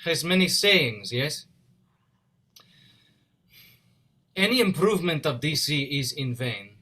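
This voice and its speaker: A man plays an earnest immigrant engineer in a stage drama, in a slightly high male voice with an Eastern European accent. He is polite and proud, speaking formally in short clipped phrases with missing articles. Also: sing-song, normal speed, pushed and bright, slightly rough, heavily acted. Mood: reserved. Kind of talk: dramatic play reading. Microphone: video call.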